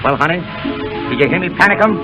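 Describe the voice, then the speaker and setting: A man acting as a brash, carefree burlesque comic in an old 1930s radio play. He talks quickly in a high-pitched voice, slangy and cocky. Music plays behind the vintage broadcast. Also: highs cut off, lightly acted. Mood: defensive, joking. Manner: slangy, cocky wisecracking vaudeville talk.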